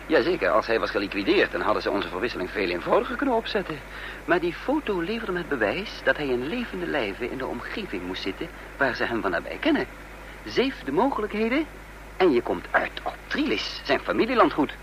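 Een acteur die in een hoorspel een vastberaden voortvluchtige dubbelganger speelt, bedachtzaam sprekend en dan weer bevelend. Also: male voice, medium pitch, huge pitch swings, fairly quick. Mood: intent. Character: resolute male fugitive impostor leading his companions. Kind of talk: radio drama acting. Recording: noisy old radio play recording.

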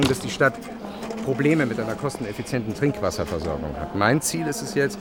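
A man's voice: mid-range voice, natural voice. An actor playing an assured, businesslike male chief executive of a water company in a radio drama. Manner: assured, declarative, corporate. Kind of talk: documentary-style radio drama acting.

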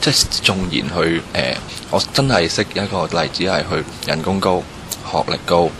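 Deep-voiced man giving hesitant interview answers. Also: normal speed. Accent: Hong Kong Cantonese. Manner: hesitant, roundabout explaining. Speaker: street interviewee. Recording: noisy location with ambient sound.

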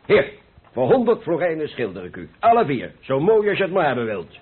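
A boisterous Golden Age painter haggles and boasts in a radio play. His husky voice is fairly high and swings widely, full of exclamations and proud bluster. The old broadcast recording sounds muffled. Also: huge pitch swings, brisk, heavily acted. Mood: jovial haggling. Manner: jovial haggling, theatrical exclamations and chuckles. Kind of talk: Dutch radio play acting.

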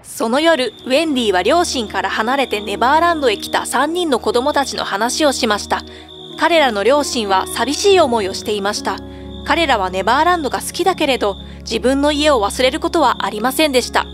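A woman's voice: sits high, lively intonation, a little fast, faint music behind. A woman narrating a scripted children's fairy tale as a storyteller.

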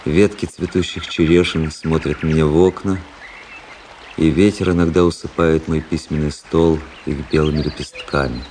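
An actor playing an observant, world-weary officer narrating his diary in a radio play, measured and lyrical. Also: a bass voice, steady tone, darkish tone, slight background noise. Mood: contemplative.

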